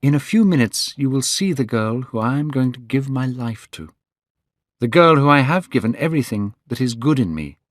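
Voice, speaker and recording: A man voicing an eager, lovestruck young man in a spoken storybook, pitched high and gushing. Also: expressive, normal speed, no echo, clean studio sound, lightly acted. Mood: earnest.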